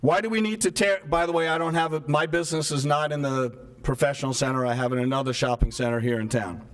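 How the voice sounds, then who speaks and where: Soft-spoken man, slightly high voice, resident at a council meeting's public comment, earnest, leans on rhetorical questions. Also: steady tone, fast talker. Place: town hall meeting room. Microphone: microphone stopped working partway.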